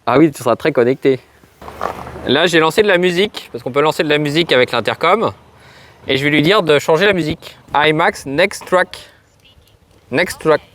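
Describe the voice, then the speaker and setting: A man's voice: a little high, normal speed, pauses often, a bit bright, slight background noise. A man hosting a casual gear demo, talking straight to his viewers. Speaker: motovlog host testing gear. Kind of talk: casual product demo to camera.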